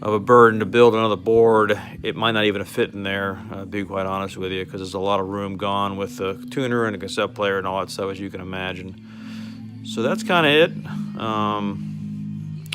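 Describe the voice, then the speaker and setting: A man's voice: lowish voice, steady tone, moderate pace, over music. A man giving an informal, step-by-step product demonstration to a customer, explaining how a piece of equipment works. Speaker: car radio restorer demonstrating a converted radio.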